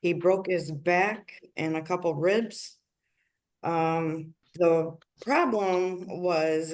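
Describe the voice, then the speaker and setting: A middle-aged woman giving a formal, deliberately worded account to a judge over a video call. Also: deep voice, a little mellow. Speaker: litigant bringing a motion in court. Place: large office room, on a video call.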